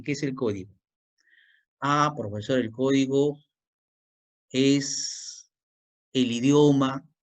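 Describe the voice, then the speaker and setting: A man's voice: mid-range voice, a little mellow. A male teacher giving a language lesson, repeating key points and quizzing the student with questions. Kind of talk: classroom lecture.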